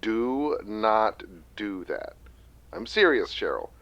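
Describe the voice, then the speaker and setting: A man playing a loving, anxious, protective father of a grown daughter in a drama, gentle but urging. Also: lowish voice, sing-song, slow, creaky, no room sound, heavily acted. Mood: urgent, protective. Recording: dry, dull lo-fi sound.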